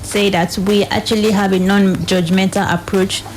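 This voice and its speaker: A woman's voice: mid-range voice, level tone, normal speed, a little hiss or hum, rough recording. A woman with a Nigerian accent giving formal advice to listeners as a radio guest. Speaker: studio guest, NGO officer.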